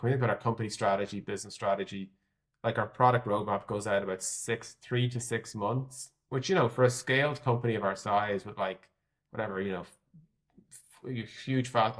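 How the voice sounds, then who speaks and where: A man with an Irish accent giving conversational interview answers as a tech executive, candid and thinking aloud as he hedges his predictions. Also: on the low side. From Ireland. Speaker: tech executive, podcast guest.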